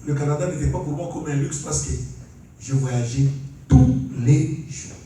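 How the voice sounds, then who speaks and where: Congolese preacher in an echoey hall. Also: male voice, a little high, normal speed, dark tone, far from the mic, faint music behind. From Congo (DRC). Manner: calls for amens, laughs while preaching. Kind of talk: sermon with testimony. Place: large church hall.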